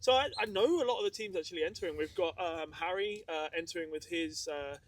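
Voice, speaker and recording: A man's voice: a little high, fast talker, a little hiss or hum. A man co-hosting a podcast, chatting casually and rambling with lots of ums. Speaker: podcast co-host. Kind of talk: casual group chat. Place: outdoors in the desert.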